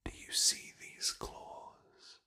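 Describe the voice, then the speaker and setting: A man voicing a sadistic, playful ancient monster hunting human prey in an ASMR roleplay, taunting theatrically and slipping into breathy whispers. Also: extremely slow, bright, forceful tone, exaggerated voice. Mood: sinister, playful. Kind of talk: ASMR roleplay, scripted monologue.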